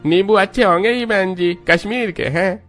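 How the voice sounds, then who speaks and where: A man's voice: high-pitched, moderate pace, fairly bright tone, soft background music. A man playing a friendly vegetable seller who grudgingly gives in, in a cartoon drama. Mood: salesmanlike.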